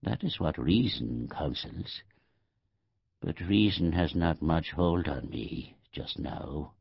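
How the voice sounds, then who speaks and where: An actor playing a bitter, dying old man in an audiobook monologue, gravelly and deliberate, musing and correcting himself. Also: a bass voice, animated, no room sound, dull audio, heavily acted. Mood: wry, doubting. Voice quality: gravelly, husky. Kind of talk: audiobook first-person monologue, literary. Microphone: close studio microphone, dry.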